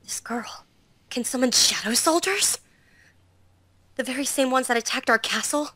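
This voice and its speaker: A woman voicing a composed, quietly menacing young swordswoman, speaking in a hushed, measured way for an amateur visual novel dub. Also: high voice, lively intonation, no room sound, exaggerated voice. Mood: wary. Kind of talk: visual novel fandub acting.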